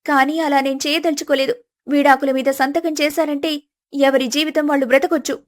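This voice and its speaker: Young independent wife, played by a woman, high voice, measured, polite and resolute, storybook drama. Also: steady tone, natural voice. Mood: firm, composed. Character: calm, firm, independent young married woman.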